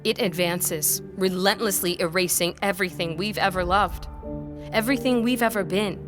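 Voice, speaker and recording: A woman playing a defiant, earnest scholar in an audio drama, speaking in an articulate, deliberate way over music. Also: medium pitch, natural voice. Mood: impassioned, urgent. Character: defiant, earnest female scholar-historian on trial. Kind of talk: scripted audio drama acting. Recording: music behind the voice.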